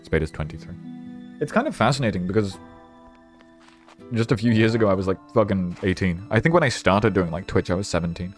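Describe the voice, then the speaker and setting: Chat loosely like a young guy in his twenties on stream, low and relaxed, tripping over words, dropping casual swears, music playing softly underneath. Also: a little fast. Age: 20s. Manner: rambling, casually profane, stumbles over words. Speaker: live streamer. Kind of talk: casual live-stream chat, reading viewer messages.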